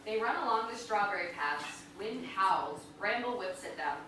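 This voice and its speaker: A woman's voice: medium pitch, lively intonation, moderate pace. A woman reading stage directions as scripted narration at a staged play reading. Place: indoor venue, staged reading. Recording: very echoey room from far off, noisy.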